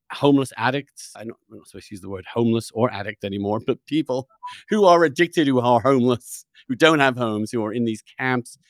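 A man's voice: medium pitch, no room sound. A man hosting a long-form interview, speaking in an earnest, discursive way and often rephrasing as he goes. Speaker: podcast host.

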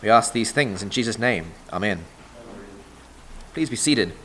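A pastor with a sore throat, hoarse, reading scripture and preaching in a measured, deliberate sermon cadence. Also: male voice, on the low side, expressive, fairly bright tone, a little hiss or hum.

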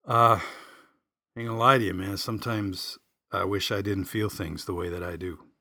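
A man's voice: low voice, fairly even intonation, normal speed, bright, forceful tone, no echo, studio quality. A middle-aged man telling a personal story in a reflective, folksy, plain-spoken way. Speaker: podcast host and singer-songwriter. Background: acoustic guitar music.

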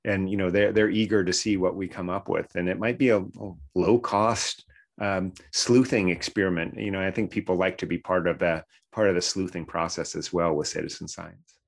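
A man's voice: low-pitched, fairly even intonation, normal speed, creaky. A man talking conversationally as an interview guest, explaining things step by step like a researcher.

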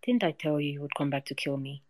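A woman's voice: deep voice, fast, darkish tone, no echo. A woman reading as a solemn king of snakes. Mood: grave. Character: proud male snake king, wise and fatalistic.

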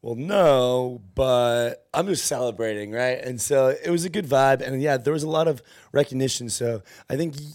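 A man's voice: medium pitch, a little mellow. A man hosting an interview in a loose, rambling way, with casual slang and frequent restarts. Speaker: host. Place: conference venue.

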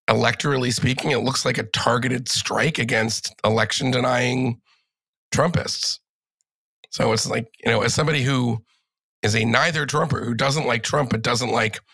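A man with a cold and a raspy voice, chatting casually as a podcast guest and rambling through restarts and fillers. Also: medium pitch, pushed and bright, dry recording, rough recording. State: has a cold. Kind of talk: casual political chat.

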